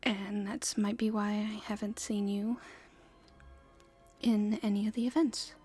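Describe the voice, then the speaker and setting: Woman playing a whispery, slow-talking young princess. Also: medium pitch, flat delivery, soft background music. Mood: apologetic, uneasy. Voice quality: soft, breathy, often whispered. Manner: formal, polite, hesitant. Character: proper young princess at her debut, stifled, secretly rebellious. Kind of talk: whispery ASMR roleplay.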